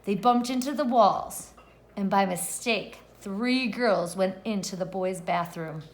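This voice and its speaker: A woman's voice: medium pitch, takes it slowly, slight background noise. A woman kindergarten teacher reading a picture book aloud expressively and putting questions to the children. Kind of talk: read-aloud lesson for young children.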